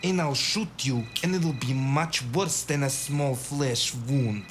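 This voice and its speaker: A man playing a slow, menacing, desperate gunman. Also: medium pitch, a little hiss or hum, lightly acted. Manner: threatening, deliberate. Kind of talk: dramatic audio drama dialogue.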